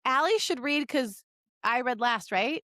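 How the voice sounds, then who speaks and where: A woman's voice: high-pitched, lively intonation, measured pace, pushed and bright, no room sound, clean studio sound. A woman co-hosting a podcast, bantering casually among friends. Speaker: podcast co-host.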